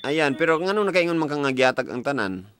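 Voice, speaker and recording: A man's voice: on the high side, sing-song, fast, a bit bright, smooth, dry recording. A young Filipino man with a Cebuano accent, hosting a casual call-in radio chat in a playful, teasing way on a studio mic. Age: young adult. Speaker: radio host. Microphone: boom-arm studio mic, headphones. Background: music playing.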